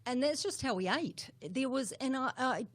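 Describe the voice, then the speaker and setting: A woman's voice: sits high, expressive, moderate pace. A middle-aged woman with a New Zealand accent, talking casually as an interview guest, full of fillers and repeated words.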